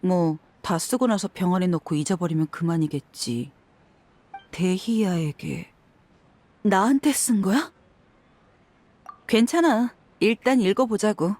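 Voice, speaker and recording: A woman's voice: medium pitch, animated, measured pace, long pauses, darkish tone. A woman voicing a frank young desert mercenary, tough but caring, with a casual manner in a dramatic game scene. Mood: curious.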